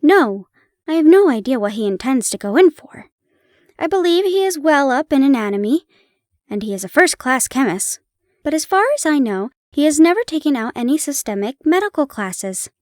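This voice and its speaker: Friendly young hospital dresser, brisk and chatty, high and bright, read by a woman. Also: big pitch swings, no echo, studio quality.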